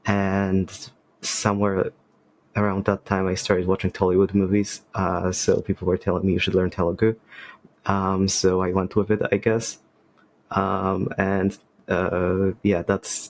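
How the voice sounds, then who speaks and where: A man's voice: deep voice, completely flat delivery, measured pace. A man in his twenties from Poland, a native Polish speaker, chatting as a podcast guest over a video call with a hesitant, umming way of talking. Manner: hesitant, with many ums and restarts. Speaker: podcast guest, writer and game master. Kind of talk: casual podcast chat.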